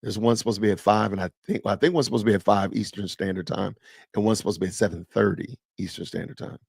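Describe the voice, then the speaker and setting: Fifties male streamer, low gravelly voice, dry close sound, easygoing chat host reading comments aloud. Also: fast, a little mellow, no echo. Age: 50s. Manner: chatty, reads and answers live chat aloud. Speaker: podcast host. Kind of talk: casual live stream chat with viewers.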